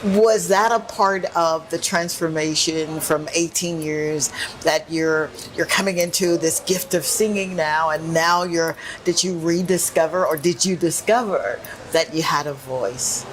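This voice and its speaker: A woman hosting an interview show, animated and effusive, laughing often and piling up quick affirmations. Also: sits low, slight background noise, poor audio. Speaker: podcast host. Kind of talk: casual interview chat.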